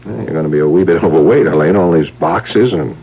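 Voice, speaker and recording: Wry American investigator, low husky voice, suspicious, muffled vintage radio mystery. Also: male voice, fast talker. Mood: wry, probing. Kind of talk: 1970s radio mystery acting. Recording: muffled old radio broadcast recording.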